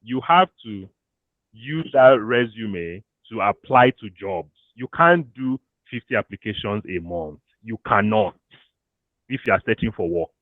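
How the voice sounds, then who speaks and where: A Nigerian man giving career advice to an audience in a casual, animated way, slipping into pidgin and laughing often. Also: fairly low pitch, unhurried, no room sound. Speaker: career coach. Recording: thin phone-like dry audio.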